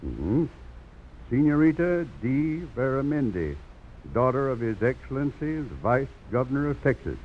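An actor playing a wry, folksy older country doctor for horses in a 1950s radio drama. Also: male voice, mid-range voice, very animated, unhurried. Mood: chatty, folksy. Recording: dull old radio broadcast, faint hiss.

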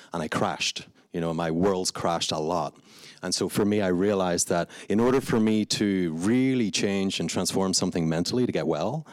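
A man's voice: sits low, dry recording. A man with a Belfast accent, a meditation teacher speaking earnestly and passionately on a live panel, often restarting his phrases. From Northern Ireland. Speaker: meditation teacher and studio founder. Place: indoor event space before a live audience.

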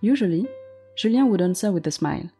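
A woman narrating a story in a gentle, measured, scripted way. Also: medium pitch, lively intonation. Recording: faint music underneath.

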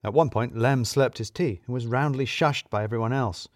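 A man's voice: mid-range voice, normal speed, no echo, clean studio sound. A man narrating a scripted first-person comic story in a wry, self-deprecating manner.

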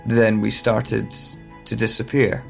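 Guy acting a guilt-ridden scholar who lost his memory, audio drama, really slow n halting, gravelly fry in the voice. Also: lowish voice, little pitch movement, soft background music, full character voice. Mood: somber, reflective. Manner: halting, deliberate short phrases. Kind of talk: dramatic first-person audio drama monologue.

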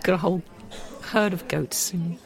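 A podcast co-host with a croaky throat, chatting casually and asking skeptical, teasing questions. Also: medium pitch, normal speed, poor audio. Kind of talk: casual chat.

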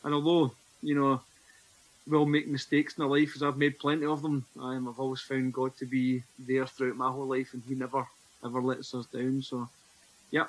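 A Scottish man in his forties speaks as a podcast guest, earnest and reflective as he tells his own story. His pitch sits in an ordinary middle range for a man. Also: flat delivery, moderate pace, poor audio. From Scotland. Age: 40s. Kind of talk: personal testimony in conversation.

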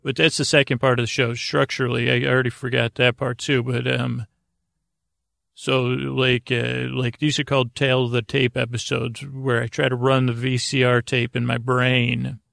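Man, creaky fry, medium pitch, close dry sound, halting improvised monologue, self-deprecating, wandering bedtime podcast host. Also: level tone, fairly bright tone, no echo. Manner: meandering and halting, trailing off into tangents.

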